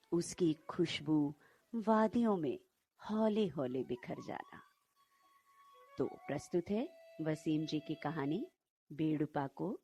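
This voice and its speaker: A woman co-hosting and telling stories to listeners in an unhurried way. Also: sits low, dark tone, breathy edge. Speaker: co-host and storyteller.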